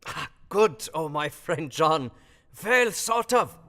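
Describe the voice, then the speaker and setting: An actor playing a kindly, wise old Dutch professor in an audio drama, with quaint foreign phrasing and theatrical emphasis. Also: male voice, on the high side, animated, slow pace, sharp tone, full character voice. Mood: fond, moved. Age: older.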